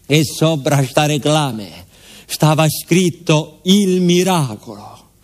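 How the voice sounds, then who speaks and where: A man's voice: on the high side, expressive, takes it slowly. An actor playing a garrulous village postman who recounts his youth in a southern Italian accent, with folksy asides, as a theatrical radio monologue. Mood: confiding. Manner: colloquial, folksy asides and repeated interjections.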